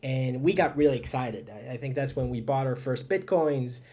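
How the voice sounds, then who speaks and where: A man's voice: medium pitch, normal speed, warm tone. A man, a startup founder chatting casually and self-deprecatingly as a podcast guest. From Israel. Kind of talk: casual interview conversation.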